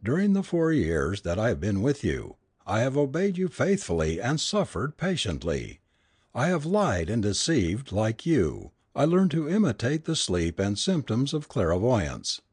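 A man reads the part of a frightened, submissive woman in a melodramatic audiobook tale. The voice swings widely in pitch with anguished pleading, husky at the edges and recorded very dry. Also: wildly swooping pitch, a little slow, a little mellow, no echo, exaggerated voice. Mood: anguished, bitter. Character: worn-out, terrified, submissive woman, a medium's assistant. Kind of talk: dramatic audiobook character reading.

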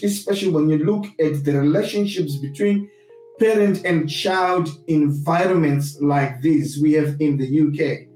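A man from Zimbabwe with a Zimbabwean accent gives a reflective, sermon-like talk, deliberately restating his points for emphasis. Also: on the high side, warm tone. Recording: roomy echo, distant mic, music behind.

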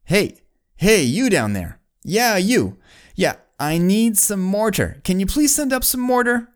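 A storyteller doing an exasperated high-pitched builder guy yelling. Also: very animated, measured pace. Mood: calling out. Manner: shouting, repeats himself insistently. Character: exasperated male tower builder yelling down for materials.

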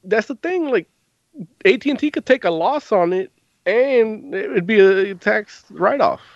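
A man with a fairly high voice eagerly jumps into a casual chat. His voice sounds dry and close. The lo-fi recording dulls the sound. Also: animated, unhurried, no echo.

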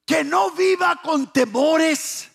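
Male preacher, very high raspy voice, slow emphatic delivery with repeated phrases and rhetorical questions. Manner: swings between hushed lines and shouted exhortation. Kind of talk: fervent sermon to a congregation.

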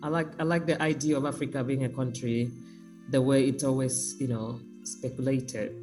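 A woman artist speaking by phone in an interview, reflective and sometimes joking, with lively emphasis. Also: a bass voice, normal speed, faint music behind. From Nigeria.

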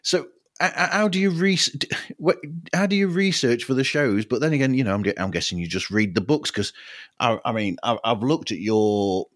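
A man hosting a podcast interview, talking haltingly with many restarts and laughing along. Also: medium pitch, a bit bright. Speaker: podcast host. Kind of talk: casual interview chat.

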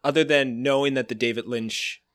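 A man's voice: mid-range voice, fairly even intonation, moderate pace, fairly bright tone, no echo, clean studio sound. A man talking casually in a podcast conversation.

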